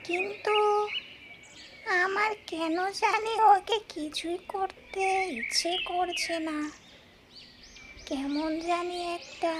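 A mischievous female tree ghost from a cartoon folk tale, with an extremely high voice. She drags her words out slowly and exclaims, with a tender, regretful streak. Birdsong and noise sit behind her. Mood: puzzled, drawn.

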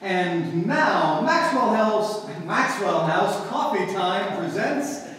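Guy as old timey radio announcer, formal, slow, big echoey hall, bit noisy. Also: high voice, expressive, recorded from a distance. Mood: grand.

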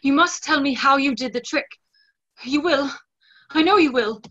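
Resolute young gentlewoman, played by a woman: bright, high, carefully spoken, theatrical, on dull video-call audio. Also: moderate pace. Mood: pleading, insistent. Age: young adult. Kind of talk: stage play reading. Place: small room at home, video call.

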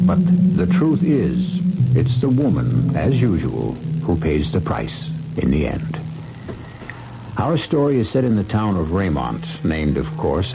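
A man narrating a 1970s radio mystery in an ominous, deliberate, theatrical manner. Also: extremely low pitch, full character voice. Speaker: host and narrator. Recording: muffled vintage radio broadcast with loud music.

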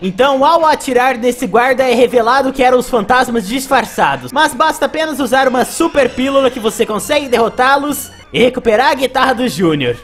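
A young Brazilian-accented man with a high voice narrates a video game. The style is casual storytelling, with music playing behind him. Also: animated, brisk, hardly any pauses, fairly bright tone. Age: young adult.

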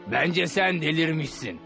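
A man's voice: fairly high pitch, creaky, pushed and bright, heavily acted. A man voicing a pompous, incredulous duke in a dubbed cartoon, with theatrical mocking delivery. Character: pompous, scoffing Duke of Venice, incredulous male ruler.

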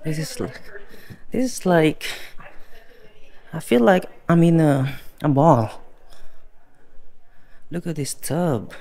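Slow-talking man touring a home. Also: on the high side, vocal fry, warm tone. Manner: slow, chatty, admiring remarks. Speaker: real estate agent touring a home. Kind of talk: casual home tour walkthrough. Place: large furnished house, indoors. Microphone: handheld phone camera. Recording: other visitors chatting behind.

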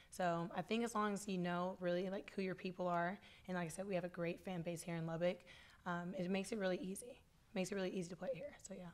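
A young woman, a college volleyball player, answering reporters at a desk mic in a postgame press conference, casual and upbeat, often saying "like". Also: lowish voice. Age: young adult. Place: press room with sponsor backdrop. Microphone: desk microphone.

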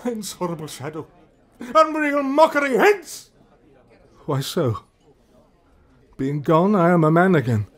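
A man's voice: high-pitched, sing-song, unhurried, pauses often, full character voice. An actor playing a guilt-haunted, volatile king in a classical Shakespearean radio drama, with a theatrical, declamatory manner. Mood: terrified, raving. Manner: theatrical, declamatory, swinging between outcry and brooding.

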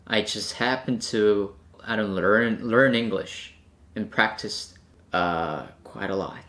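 A young Korean man with a Korean accent, answering viewer questions casually to camera in a small room, pausing to think with fillers. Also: on the low side. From South Korea. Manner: relaxed, thinking aloud with fillers. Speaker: climbing vlogger.

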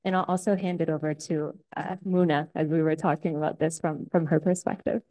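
A woman's voice: low voice, steady tone, brisk, dark tone. A woman asks a conference panel a question in a casual, lightly joking way. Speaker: conference participant asking a question. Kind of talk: spontaneous audience question at a conference.

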